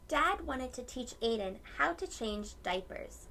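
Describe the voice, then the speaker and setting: A woman reading a picture book aloud to young children and explaining it gently in simple words. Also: on the high side, expressive, measured pace, gravelly. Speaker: children's read-aloud host.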